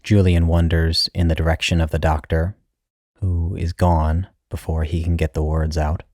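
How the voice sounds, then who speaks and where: A man's voice: very deep voice, flat delivery, moderate pace, a little mellow, no echo, studio quality. A man reading a literary short story as its narrator, in a scripted style.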